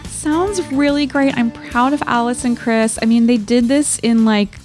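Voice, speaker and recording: A woman co-hosting a podcast, chatting casually and reading aloud from a diary in an expressive, playful way. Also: a little high, a little slow, soft background music. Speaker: podcast co-host.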